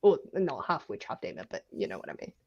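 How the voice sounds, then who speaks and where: Lively, unhurried woman with a lo-fi sound. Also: a bass voice. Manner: expressive, animated reactions, frank slang. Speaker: co-host of a book club livestream. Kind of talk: casual chat.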